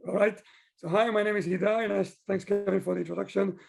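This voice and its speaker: A man from Israel with a husky, gravelly voice, talking at length and explaining his work as a consultant in a conversational interview. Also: sits high, steady tone, quick pace, a little mellow. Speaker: business owner, digital consultant being interviewed.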